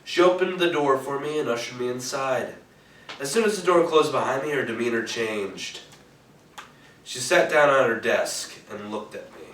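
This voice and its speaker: An actor playing a cool, wry private detective narrating a 1940s-style radio noir on stage, in an echoey room from afar. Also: male voice, mid-range voice, normal speed, a bit bright, lightly acted. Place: theatre stage, recorded from a distance. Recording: echoey stage, distant mic, faint noise.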